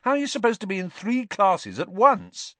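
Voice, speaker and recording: A man's voice: high voice, moderate pace, no room sound, voice pushed up, exaggerated voice. A man doing an incredulous, mocking schoolboy. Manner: incredulous, jokey, animated. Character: lanky teenage schoolboy, incredulous and cheeky.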